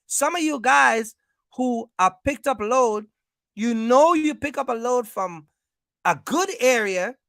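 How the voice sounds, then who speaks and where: An American livestream coach with a bright, forceful voice that often breaks into creak. The delivery is emphatic and repetitive, like informal advice to followers, and the sound is dry and close. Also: mid-range voice, expressive, slow pace, no echo. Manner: emphatic, repetitive, checks in with listeners. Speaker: trucking business coach and livestream host.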